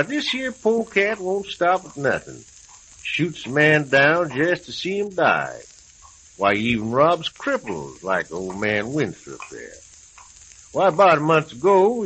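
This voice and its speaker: Drawling, folksy old Western prospector. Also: male voice, on the high side, fairly bright tone, breathy edge, full character voice. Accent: American. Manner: drawling, rustic, chuckling cowboy dialect. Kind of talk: old-time radio western acting. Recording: hissy, crackly old radio recording.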